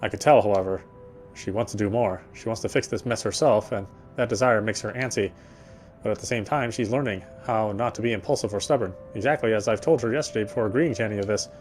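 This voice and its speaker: A man's voice: fairly low pitch, fast, darkish tone, slight background noise, close to an everyday voice. An actor playing a weary male city detective, narrating in the first person with a wry inner voice.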